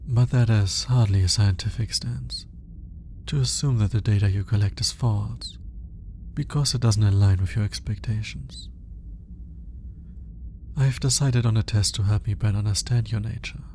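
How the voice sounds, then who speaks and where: A man playing an alien scientist in an ASMR roleplay, formal and curious, with clinical wording and hesitant, flustered pauses as he awkwardly courts the listener. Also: lowish voice, fairly even intonation, warm tone, slight background noise, close to an everyday voice. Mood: intrigued, clinical. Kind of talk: ASMR roleplay audio drama, speaking to the listener.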